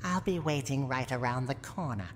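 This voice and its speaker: Very low-voiced gruff granny. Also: female voice, normal speed. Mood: teasing, protective. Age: older. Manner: menacing yet secretly tender, theatrical. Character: gruff, teasing tsundere granny guarding her haunted house. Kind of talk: scripted roleplay ASMR monologue. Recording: long reverb, clear background noise.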